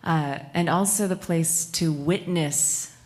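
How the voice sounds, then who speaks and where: A woman's voice: low voice, slow pace, darkish tone. A woman from Alaska, a musician introducing a song on a concert stage, speaking reflectively with many hesitations. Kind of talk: casual onstage talk.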